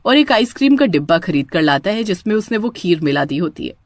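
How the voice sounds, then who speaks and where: A woman's voice: on the low side, big pitch swings, a little fast, dry recording. A woman narrating a scripted story as storyteller.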